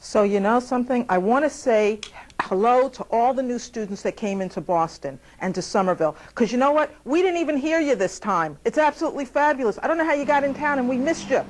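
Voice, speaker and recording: A woman's voice: on the high side, animated, fairly quick, poor audio. A woman performing a sardonic stand-up comedy monologue. She addresses the audience directly, asks rhetorical questions and stretches out repeated punchlines. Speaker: comic performer reading her piece.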